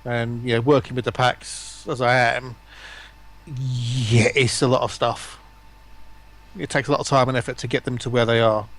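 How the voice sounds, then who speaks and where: A man's voice: medium pitch, flat delivery, moderate pace, fairly bright tone. A man chatting casually as a guest on a stream. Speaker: stream guest, voice pack builder. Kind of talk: casual chat.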